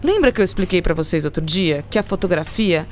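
A woman playing a caring, patient aunt in a children's audio drama, expressive and explanatory. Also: lowish voice, fairly quick, slight background noise, lightly acted. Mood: explaining.

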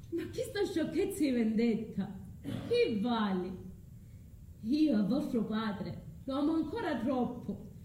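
An actress playing a vengeful, tormented foreign sorceress, delivering an intense, declamatory stage monologue in a radio drama. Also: female voice, medium pitch, mellow, a little hiss or hum. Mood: bitter. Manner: declamatory, intense, slow and drawn out. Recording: echoey room with music underneath.

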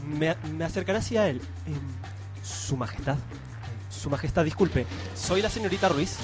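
A woman playing a flustered, excitable female field reporter in a parody radio drama, speaking dramatically. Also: low voice, normal speed, over music. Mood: flustered, awed. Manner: breathlessly dramatic, hesitant.

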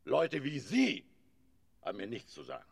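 Old stage actor, radio play, gravelly and husky, grandiose, defiant, dramatically swooping pitch. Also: male voice, big pitch swings, moderate pace, heavily acted. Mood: brooding, theatrical. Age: older. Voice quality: gravelly, raspy. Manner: declamatory, grandiose, swinging between bluster and muttering. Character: aging, bitter stage actor, theatrical and defiant.

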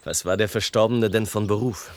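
An actor playing an inquisitive, easygoing journalist in a radio drama, asking questions in a conversational way. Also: male voice, lowish voice, a little fast, a little hiss or hum, natural voice. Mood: curious, polite.

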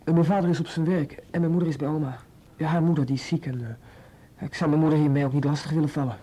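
A man's voice: fairly high pitch, fairly even intonation, quick pace, warm tone, breathy edge. A young man acting in a radio drama as a frightened, studious boy under police questioning, hesitant, stammering and pleading. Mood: nervous denial. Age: young adult. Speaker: police suspect.

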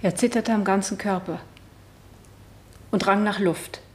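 A woman's voice: mid-range voice, fairly even intonation, unhurried, darkish tone, lightly acted. A woman playing a shaken wife on the witness stand in a 1970s German radio drama. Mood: strained, subdued. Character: shaken wife testifying at her husband's murder trial. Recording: roomy radio play studio sound.

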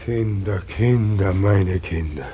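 An actor in a German radio play playing a helpless, forsaken old God, husky, frail and plaintive. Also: male voice, low voice, slow pace, mellow, lo-fi sound, heavily acted. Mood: grieving, helpless. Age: older. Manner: plaintive, lamenting, repetitive. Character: weeping, helpless old God nobody believes in.

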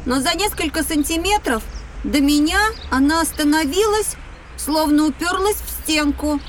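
Woman acting a cocky high-pitched schoolboy. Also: moderate pace, far from the mic, a little hiss or hum, lightly acted. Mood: excited, careless. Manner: breezy, boastful, dismissive. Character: reckless, cocky, carefree schoolboy. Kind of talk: educational children's audio drama.

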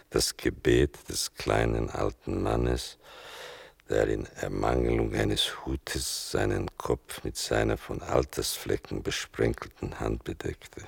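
An extremely low, heavily creaking male voice narrates as a reflective son in a radio play. The delivery is slow, deliberate and dryly ironic. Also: dry recording, full character voice. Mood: wry, reflective. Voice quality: gravelly. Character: reflective writer son recounting his mother's story.

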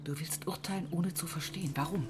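A woman's voice: on the low side, brisk, over loud music, close to an everyday voice. An actress playing a stern, secretive older foster mother in a radio drama, grave and admonishing.

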